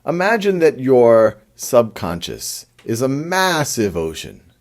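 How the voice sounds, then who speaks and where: A man leads a guided meditation as if chatting one to one. His delivery is gentle and unhurried, with a mid-range pitch that moves up and down a great deal. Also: sing-song.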